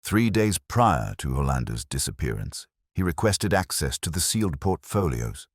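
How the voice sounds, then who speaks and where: A man voicing an earnest, worried gentleman client giving a formal, emphatic account in a Victorian detective audiobook. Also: extremely low pitch, big pitch swings, normal speed, dry recording, studio quality, heavily acted. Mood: grave, confiding.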